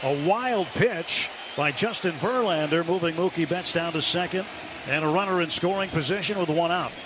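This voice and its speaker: A man's voice: on the high side, normal speed. A man calling a baseball game play-by-play on live radio. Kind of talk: live radio sports commentary. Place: stadium broadcast booth. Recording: noisy outdoor ballpark broadcast sound. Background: loud ballpark ambience.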